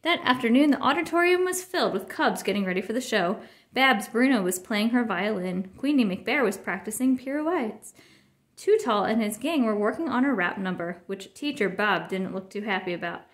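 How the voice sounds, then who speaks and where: Woman reading kids book out loud, expressive, kinda high voice. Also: normal speed. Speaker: storybook reader. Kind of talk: expressive children's read-aloud narration.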